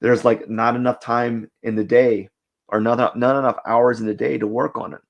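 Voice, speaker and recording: A man streaming live as he draws, talking casually in a raspy, cracky voice and rambling as he corrects himself and thinks aloud. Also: mid-range voice, flat delivery, moderate pace, dull audio. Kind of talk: casual live stream chat while drawing.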